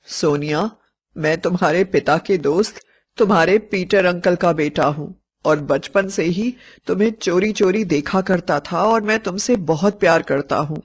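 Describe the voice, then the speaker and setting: A woman's voice: lowish voice, measured pace, breathy edge. A woman voicing a sorrowful, lovesick young male ghost in a storybook, speaking with earnest, mournful confession. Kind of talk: storybook narration dialogue.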